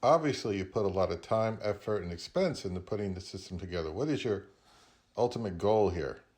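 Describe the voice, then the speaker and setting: A man's voice: lowish voice, expressive, fairly quick, darkish tone. A man hosting a casual interview, asking questions in everyday conversational speech. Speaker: host, interviewer.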